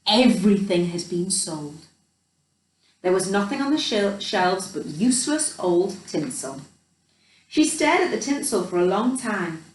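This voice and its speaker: Woman, children's storyteller, very lively intonation, expressive reading, echoey room, slightly distant mic. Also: mid-range voice. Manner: animated, with chatty asides. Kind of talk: expressive picture book reading. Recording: roomy echo, distant microphone.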